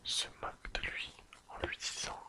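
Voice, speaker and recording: A person whispering an ASMR episode recap in a careful reading manner. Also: normal speed. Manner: careful reading, apologises and corrects slips. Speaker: ASMR video creator.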